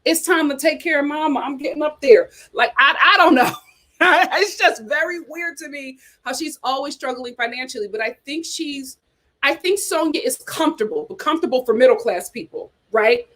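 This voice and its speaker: A young woman hosting a casual reality TV chat, sassy and animated, talking straight to her viewers. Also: sits high, moderate pace. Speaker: host.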